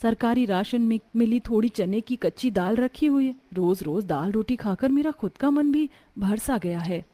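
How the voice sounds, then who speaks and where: A woman's voice: fairly high pitch, animated, moderate pace, hardly any pauses, mellow, no echo. A woman voicing a poor, dutiful young daughter-in-law in a melodramatic story, earnest and pleading. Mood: worried. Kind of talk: melodramatic Hindi moral story acting.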